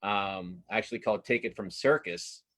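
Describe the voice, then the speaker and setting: Low-voiced man in a casual interview. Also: normal speed, pushed and bright. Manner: self-deprecating, informal. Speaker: guest cartoonist.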